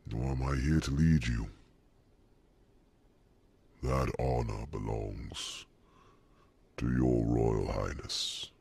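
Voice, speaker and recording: A man playing an ancient, kindly, wry druid mentor in a fantasy ASMR roleplay, with a breathy, gravelly rasp and deliberate, theatrical pauses. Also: rumbling low, darkish tone, no room sound, full character voice. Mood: proud, proclaiming.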